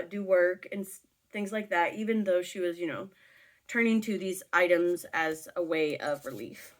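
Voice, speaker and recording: A woman chatting casually as she tells a story while doing her makeup, with informal asides and a giggly manner. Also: medium pitch. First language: English. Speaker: teacher.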